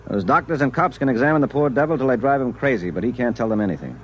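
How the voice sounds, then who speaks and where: An actor playing an earnest, stubborn agent in a 1950s radio drama. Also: male voice, medium pitch, animated, quick pace, lo-fi sound, natural voice. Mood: earnest, determined. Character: earnest, stubborn male agent confiding in his chief. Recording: noisy vintage radio broadcast recording.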